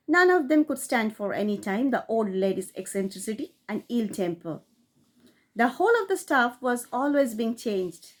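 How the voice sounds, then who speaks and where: A woman reading a classic short story aloud, in a steady storytelling manner that rises for emphasis. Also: on the high side. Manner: steady storytelling read with rising emphasis on key phrases. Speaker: audiobook reader.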